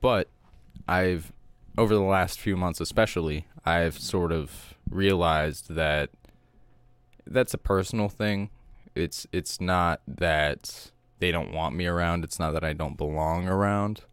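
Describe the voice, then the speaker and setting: Soft-spoken deep-voiced man. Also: clear, resonant tone, no room sound. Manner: calm, measured, reflective. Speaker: podcast co-host. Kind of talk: casual chat.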